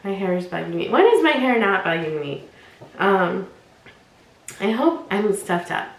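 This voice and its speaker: A woman's voice: mid-range voice, animated, measured pace, reverberant. A young woman talking casually in her vlog, bubbly and rambling and laughing often, her nose stuffed up from allergies. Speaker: fashion unboxing vlogger.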